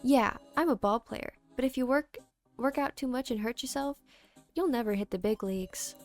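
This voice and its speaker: A big, friendly, boastful baseball player from a video game, acted by a woman in a high voice. He speaks in a hearty, folksy way over background music. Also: moderate pace, close to an everyday voice.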